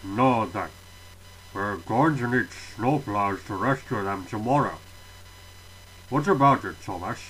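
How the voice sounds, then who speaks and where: A man's voice: lowish voice, expressive, measured pace, pauses often, a little hiss or hum, poor audio. A man voicing the Fat Controller, a stern, stout male railway boss, in a fan audio drama. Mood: commanding. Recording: loud background music.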